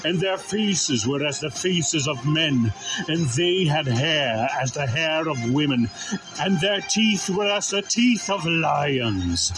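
A man's voice: a little high, animated, slow pace, music in the background, rough recording. A man reading old-fashioned Bible scripture aloud in a solemn style. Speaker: scripture reader.

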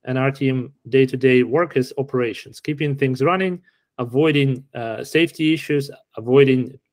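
A middle-aged man from Ukraine answering interview questions in a relaxed, explanatory way with frequent fillers. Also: mid-range voice, normal speed, no echo. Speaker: podcast guest.

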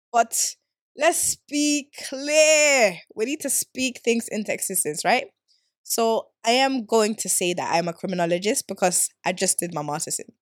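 A woman in her twenties who grew up in Sweden, hosting her own podcast in a playful, slangy, animated way and laughing easily. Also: mid-range voice, dry recording, studio quality. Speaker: podcast host. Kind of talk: casual solo podcast chat.

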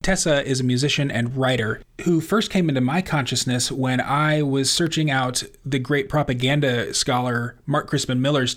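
A man hosting a podcast interview, halting and heartfelt, with many restarts. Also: mid-range voice, a bit bright. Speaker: podcast host.

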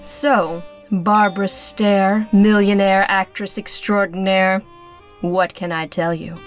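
A woman's voice: medium pitch, little pitch movement, slow, soft background music, close to an everyday voice. Femme fatale female actor: sultry rich artist, flirty with menace, mid-range voice, faint musical underscore, radio drama. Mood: seductive. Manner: seductive, teasing. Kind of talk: noir radio-play acting.

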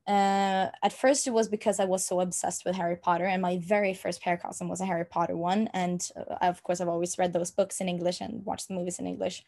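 A young Norwegian-accented woman speaks in a lowish, fairly flat voice. She talks casually in an interview, often pausing with fillers and restarting her sentences. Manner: hesitant, many fillers and restarts. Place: room at home.

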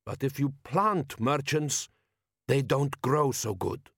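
A man doing a slow-spoken jovial desert chieftain. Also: medium pitch, animated, vocal fry, slightly rough, no room sound, clean studio sound, pitched above the performer's own voice, full character voice. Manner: slow, broken English, halting foreign accent put on.

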